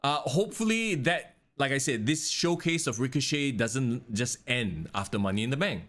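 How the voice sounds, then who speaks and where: A man, co-hosting a casual wrestling podcast, talking in an animated way and swearing casually. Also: medium pitch, normal speed. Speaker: podcast co-host.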